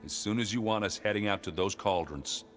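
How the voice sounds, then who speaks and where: A man playing a gruff, good-natured warrior in a video game, talking in a casual, joking, self-deprecating way. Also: fairly low pitch, a little fast, close to an everyday voice. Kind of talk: video game cutscene acting. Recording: long reverb, music behind.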